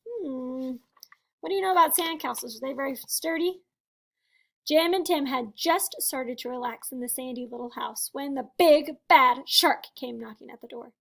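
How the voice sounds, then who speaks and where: A woman teacher doing an expressive storybook read-aloud for first graders, chatting to them and asking questions. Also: sits high, moderate pace, creaky. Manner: expressive, addressing young children with questions. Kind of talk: animated children's read-aloud.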